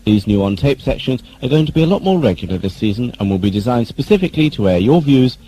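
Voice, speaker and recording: A man's voice: mid-range voice, big pitch swings, quick pace, dark tone, slight background noise, poor audio. A man presenting a scripted listeners' letters segment as announcer.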